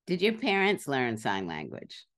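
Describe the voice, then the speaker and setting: A woman interviewer asking questions slowly and carefully. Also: extremely low pitch, wildly swooping pitch, a bit bright.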